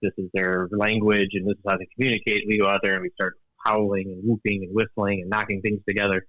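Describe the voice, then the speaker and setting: A man with a low voice speaks as a guest in a relaxed interview, recorded dry and close. He picks his words carefully, restarts often and laughs easily. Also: fast talker, no room sound, poor audio. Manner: thoughtful, hesitant, many restarts and fillers, laughs often; calls himself an engineer, evidence-minded. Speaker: guest author. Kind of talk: casual interview chat.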